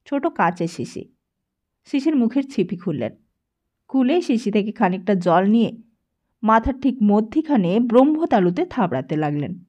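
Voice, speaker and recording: A woman reading a literary story aloud as an expressive audiobook narrator. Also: medium pitch. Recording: dull lo-fi sound.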